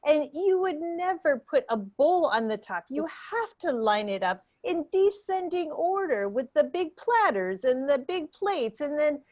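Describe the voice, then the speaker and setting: A woman plays a condescending female doctor of learning in an improvised comedy debate. Her voice is high, and she talks pompously, hesitating and then leaning hard on her points. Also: sing-song, darkish tone. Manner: pompous, halting, emphatic. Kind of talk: improvised mock panel debate.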